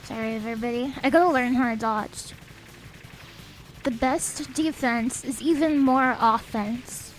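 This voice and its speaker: A young woman chatting casually on a game stream. She is a little sniffly, speaks softly, and reads out chat messages. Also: a little high, measured pace, low-quality recording. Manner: soft-spoken, reads chat aloud. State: sniffly, a bit stuffed up. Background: faint game music.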